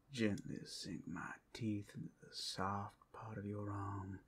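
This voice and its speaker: Male actor as cursed captive werewolf for audio roleplay: gravelly and taunting, low-pitched, swinging dramatically between whispers and outbursts. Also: a little hiss or hum, exaggerated voice. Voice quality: gravelly, husky, often breaking into whispers. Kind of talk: ASMR audio roleplay acting.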